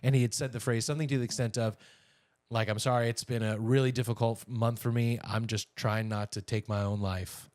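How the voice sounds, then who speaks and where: A man with a mid-range voice talks as a podcast co-host. His delivery is informal and chatty, like relaxed banter over the news. The sound is dry, with very little room in it. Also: medium pitch, a little fast, no echo. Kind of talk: casual conversational news banter.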